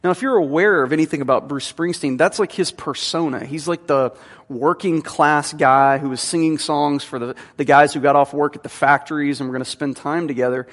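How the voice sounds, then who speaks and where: A man's voice: on the high side, fairly even intonation, brisk, a bit bright. A man in his thirties, a teaching pastor giving a conversational sermon with frequent fillers, in a church sanctuary. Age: 30s. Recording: long hall reverb.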